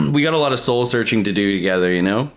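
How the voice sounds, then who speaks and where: Guy cohosting a podcast, just chatting, lively up and down voice, swears a bit. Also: medium pitch, quick pace. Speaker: podcast co-host. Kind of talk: casual chat.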